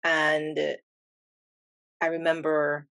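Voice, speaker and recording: A woman with a Jamaican accent, an English teacher asking questions in a thoughtful, measured conversational interview. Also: sits low, completely flat delivery, a bit bright, no room sound.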